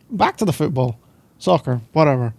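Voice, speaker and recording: A man's voice: on the high side, creaky, darkish tone, slightly rough. A young Scottish man doing casual boyfriend roleplay ASMR in a playful, teasing, rambling way, close to the microphone. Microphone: close microphone, dry sound.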